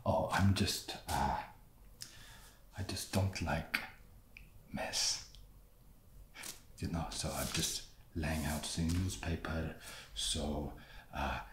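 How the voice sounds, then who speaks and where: Man playing a halting, deep-voiced awkward vampire. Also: heavily acted. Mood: awkward, ingratiating. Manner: halting, hesitant, softly spoken. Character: awkward, creepy, fussy male vampire landlord. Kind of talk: ASMR roleplay. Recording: roomy echo, loud background noise.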